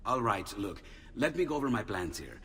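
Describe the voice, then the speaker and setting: An actor playing an excitable, earnest Renaissance inventor with an Italian accent in a video game cutscene. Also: male voice, lowish voice, brisk, husky, full character voice. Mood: thoughtful, frustrated. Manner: animated, swinging between musing and exclaiming. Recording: long reverb, music behind.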